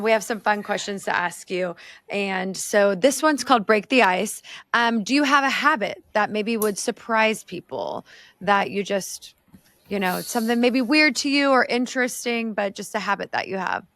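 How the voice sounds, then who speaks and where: Gravelly-voiced woman podcast host. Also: mid-range voice, normal speed, no echo. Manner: warm, affirming interviewer with "um"s. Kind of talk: casual interview hosting.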